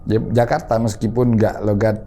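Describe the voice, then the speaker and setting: Middle-aged man, low mellow voice, polite and halting guest. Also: level tone, slight background noise. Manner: polite, hesitant, repeats himself. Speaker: canteen manager guest. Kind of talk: casual interview chat. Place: studio.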